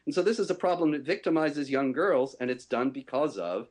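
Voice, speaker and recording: A man giving a firm, measured rebuttal in a televised debate, often pausing on "uh". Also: on the high side, lively intonation. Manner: measured, firm, many uh fillers. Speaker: debate guest.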